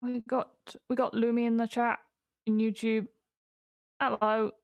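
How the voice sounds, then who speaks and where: A woman's voice: on the high side, level tone, a little slow, clear, resonant tone, dry recording, highs cut off. A woman co-hosting a storytelling stream, casually thanking viewers and chatting. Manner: friendly, reads out viewer names. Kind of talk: casual chat.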